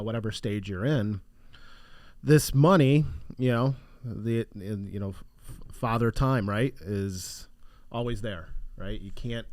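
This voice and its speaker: A man co-hosting a finance podcast, raspy from allergies, explaining estate planning in a loose, conversational way. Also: medium pitch, darkish tone, dry recording. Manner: loose, conversational, restarts often. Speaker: financial advisor co-host. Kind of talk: casual podcast chat.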